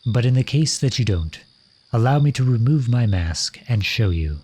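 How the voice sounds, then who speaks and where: A man's voice: medium pitch, animated, moderate pace, a little mellow, slightly rough. A man playing a lovesick, courtly royal in a romantic ASMR roleplay, speaking to the listener in formal, flowery phrases. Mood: knowing, intimate.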